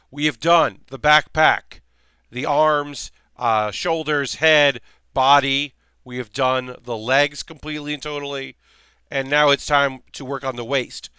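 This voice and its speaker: A man hosting a relaxed live stream while building a model kit, rambling casually with lots of fillers, his voice slightly worn. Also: medium pitch, smooth, no room sound, studio quality. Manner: rambling, chatty, many fillers and restarts.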